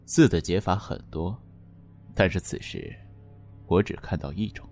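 A man acting as a calm, shrewd, enigmatic fortune teller in a radio suspense drama, speaking in measured, cryptic phrases. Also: deep voice, faint music behind. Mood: pointed, insinuating. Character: calm, enigmatic, shrewd male fortune teller who reads written characters.

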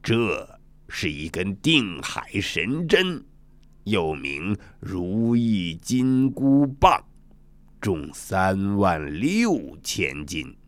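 A stately old dragon king of a storybook sea palace, voiced by a man. The pitch sits low and the words come slowly, with measured, hesitant dignity. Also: big pitch swings, no echo, heavily acted. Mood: solemn.

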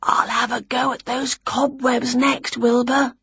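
A woman voicing an excitable, scatterbrained witch in a theatrical, exclamatory way for a children's storybook. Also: mid-range voice, moderate pace, husky, no echo, highs cut off. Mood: eager scheming. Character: excitable, scatterbrained female witch, dramatic and cheery.

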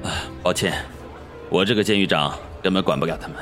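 A man's voice: sits low, a little fast, fairly bright tone, soft background music. A man playing a weary, apologetic prison warden in a zombie audio drama. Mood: resigned. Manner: resigned, apologetic. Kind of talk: Chinese fan audio drama acting.

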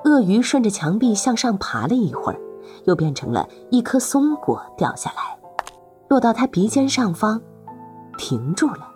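A woman's voice: a little high, big pitch swings, moderate pace, darkish tone, soft background music. A woman narrating a serialized fantasy audio drama as its host, in a dramatic scripted storytelling style.

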